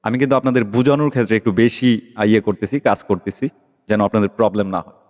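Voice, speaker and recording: A man's voice: lowish voice, steady tone, quick pace, darkish tone. A man giving a casual tutorial voice-over as host, explaining step by step and often checking that listeners follow. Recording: long reverberant echo.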